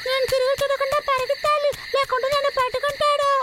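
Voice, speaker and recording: A woman voicing a small, kind-hearted female fox in a dubbed cartoon, squeaky and childlike, with caring exclamations. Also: level tone, fast talker, distant mic, very noisy. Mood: nervous. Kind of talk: animated cartoon dub acting. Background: loud background music.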